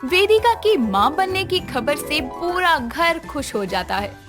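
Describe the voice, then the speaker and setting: A woman's voice: high-pitched, big pitch swings, slow pace, music in the background. A woman narrator telling a scripted domestic story.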